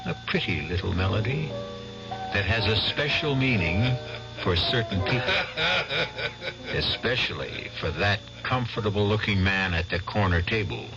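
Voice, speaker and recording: A man giving scripted dramatic narration for a radio mystery, measured and ominous. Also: on the low side, animated. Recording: dull lo-fi 1970s radio broadcast with music.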